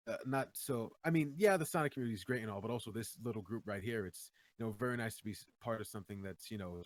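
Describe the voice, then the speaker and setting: Fast-talking, earnest low-voiced man. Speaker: voice actor. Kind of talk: casual chat.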